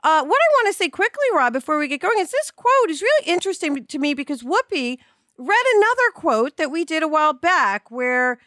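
A woman's voice: sits high, sing-song, brisk, a bit bright, dry recording, studio quality. A woman co-hosting a conversational podcast, gushing and emphatic as she talks. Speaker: podcast co-host.

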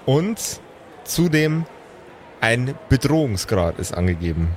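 A man's voice: mid-range voice, sing-song, slow pace, a little mellow. A man narrating an improvised tabletop role-playing game as its game master, with casual banter. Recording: clear background noise.

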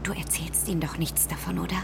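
A woman's voice: lowish voice, steady tone, brisk, gravelly, slight background noise. An actress playing a nervous, easily startled female astronaut in a scripted audio drama.

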